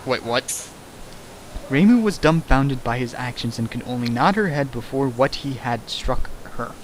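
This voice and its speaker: A man's voice: mid-range voice, animated, normal speed, a little hiss or hum, poor audio. A man reading a fan fiction aloud for laughs, breaking off into sarcastic, mock-outraged commentary. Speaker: reader riffing a fan fiction. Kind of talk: comedic dramatic reading with commentary.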